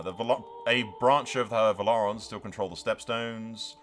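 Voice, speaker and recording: A man's voice: on the low side, sharp tone. A man giving casual, sweary gameplay commentary as a gaming YouTuber, chatting to his viewers in a blokey way.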